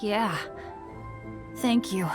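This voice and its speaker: Hapless, optimistic game boy, earnest, hesitant, moderate pitch, music behind. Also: very slow. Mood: helpful. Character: kind, hapless, optimistic teenage boy adventurer. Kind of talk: video game voice acting.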